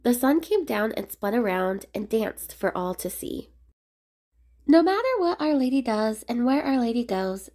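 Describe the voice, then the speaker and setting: A woman reading a rhyming children's picture book aloud in a measured, expressive sing-song way. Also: on the high side, gravelly. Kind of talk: storybook read-aloud narration of rhyming verse.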